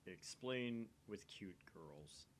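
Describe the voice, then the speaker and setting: A man's voice: lively intonation, drawn-out words. A man voicing a teasing, nerdy young male otaku from a visual novel, droll and full of questions. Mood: teasing, curious. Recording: faint noise with low rumble.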